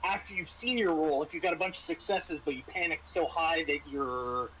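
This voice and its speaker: A man's voice: on the high side, a bit bright, slight background noise, rough recording. A man working as game master of a tabletop horror game, narrating and explaining rules casually, often repeating his words as he goes. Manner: halting, repeats words and phrases.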